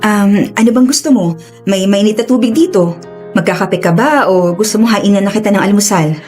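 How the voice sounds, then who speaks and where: A woman's voice: mid-range voice, a little fast, a little mellow, faint music behind, lightly acted. An actress playing a protective, caring older sister, a married working woman, in a radio drama. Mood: soothing.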